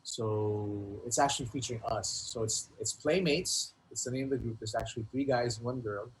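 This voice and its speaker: A man's voice: mid-range voice, steady tone, moderate pace, slight background noise, low-quality recording. A man, a rapper and producer, talking casually as an interview guest in loose, hesitant phrases that often repeat words.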